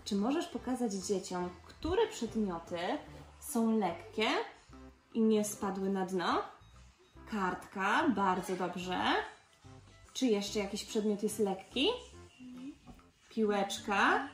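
A woman's voice: medium pitch, takes it slowly, pauses often. A woman in her twenties guiding small children through a demonstration with encouraging, simple instructions and questions. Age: 20s. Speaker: presenter of a children's experiment. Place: living room indoors. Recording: echoey living room, music behind.

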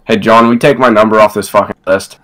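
A man's voice: on the low side, steady tone, fairly quick, crowd noise. A man in a heated phone argument, exasperated, profane and emphatic.

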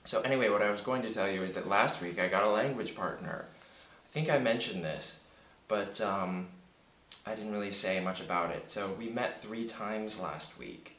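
Deep-voiced man vlogging in an echoey room. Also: moderate pace, a bit bright. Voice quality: deep morning voice, sounds a bit sick. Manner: rambling, chatty, self-deprecating, with many fillers. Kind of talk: casual vlog monologue. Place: home in Berlin. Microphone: handheld camera mic.

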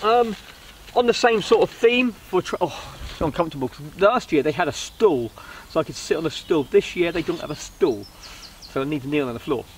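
A man with a high-pitched voice talks through gear in an informal, hesitant vlog style. He rambles as he goes, recorded outdoors at some distance from the camera, with clear background noise. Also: animated, fairly quick. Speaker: vlogger reviewing budget camping gear. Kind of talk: casual product review to camera. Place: outdoors on a wooden riverside deck. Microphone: camera a couple of metres away.

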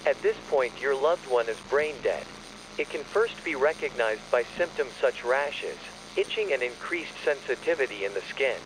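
A man's voice: mid-range voice, expressive. Formal male emergency announcer over noise. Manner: formal, measured, official. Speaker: narrator of a fictional emergency alert. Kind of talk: scripted emergency announcement narration.

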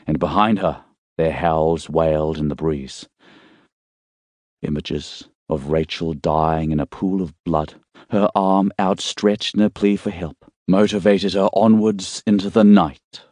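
Deep, mellow male audiobook narrator. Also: fairly even intonation, a little slow, no room sound, studio quality. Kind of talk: scripted narration of a thriller novel.

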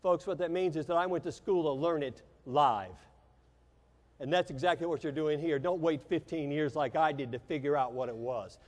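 An American man in his fifties giving a keynote speech as a motivational business speaker, telling a personal story in a theatrical way with dramatic pauses. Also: on the high side. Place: large convention hall stage. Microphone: lapel mic. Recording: echoey hall with long reverb.

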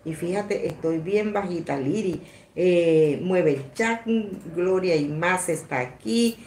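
Low-voiced older woman in an echoey room. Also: darkish tone. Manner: rambling, repetitive, halting. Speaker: live stream host. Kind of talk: casual live-stream chat, reading out comments. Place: small indoor room at a table. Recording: echoey room, some background noise.

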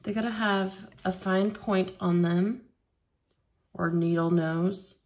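A woman leading a hands-on beading tutorial at a craft table, explaining each step slowly and patiently. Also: fairly low pitch, fairly even intonation, a little mellow. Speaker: craft instructor.

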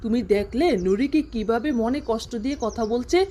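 A woman's voice: fairly high pitch, brisk, dark tone, a little hiss or hum, voice pushed down, lightly acted. A woman voicing an anxious village mother in a cartoon, speaking in a fretful, earnest way. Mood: worried.

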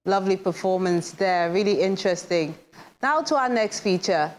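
A woman's voice: medium pitch, moderate pace, over music. A young woman with a Nigerian accent presenting a scripted TV arts programme. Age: young adult. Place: art gallery indoors.

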